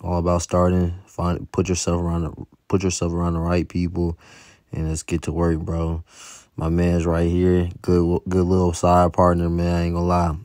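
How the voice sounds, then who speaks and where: A man's voice: sits low, completely flat delivery, moderate pace, a little mellow, no echo, clean studio sound. A young American man giving a casual motivational fitness voice-over in a slangy, encouraging way. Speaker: fitness vlogger.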